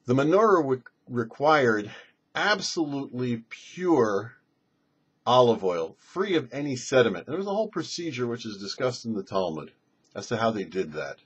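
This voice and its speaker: A man's voice: medium pitch, sing-song, normal speed, slightly rough. A man, a rabbi and show host, giving a religious teaching in a didactic way with emphatic phrasing. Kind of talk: religious teaching on a Torah portion. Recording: dull lo-fi recording.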